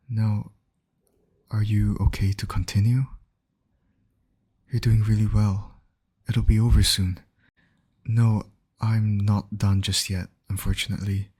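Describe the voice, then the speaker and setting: A man acting as a calm, caring medic in an ASMR roleplay, gently reassuring a patient and explaining each step. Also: on the low side, husky. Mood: comforting, then urgent, reassuring. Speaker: voice actor in roleplay audio.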